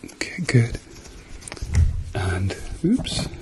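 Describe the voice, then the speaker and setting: A man speaking softly to the listener as a doctor in an ASMR medical roleplay, murmuring reassuringly and often slipping into whispers. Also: fairly low pitch, wildly swooping pitch, extremely slow, slight background noise. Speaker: ASMR performer playing a doctor.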